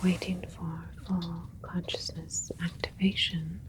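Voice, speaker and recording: A woman voicing a calm alien scientist doctor in a soft, measured whisper for an ASMR sci-fi roleplay. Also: fairly low pitch, slight background noise. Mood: clinical, focused. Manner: slow, measured, clinical, deliberate. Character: calm, clinical alien scientist doctor creating a plant hybrid.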